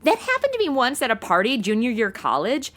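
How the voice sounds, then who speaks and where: An actress playing a flippant, sarcastic, easily bored young sidekick in a comedy audio drama, talking in modern casual slang. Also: female voice, fairly high pitch, huge pitch swings, brisk, fairly bright tone. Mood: flippant, impatient.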